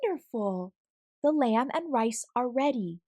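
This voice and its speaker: A woman voicing a kindly, calm old grandmother in a children's storybook, encouraging and instructive. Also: on the high side, measured pace, no room sound, clean studio sound, pitched above the performer's own voice. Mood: pleased. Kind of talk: children's storybook character reading.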